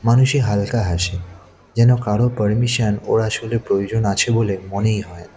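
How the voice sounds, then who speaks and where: A man's voice: on the low side, fairly even intonation, moderate pace, a little mellow. A man narrating a scripted thriller audio story. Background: faint music.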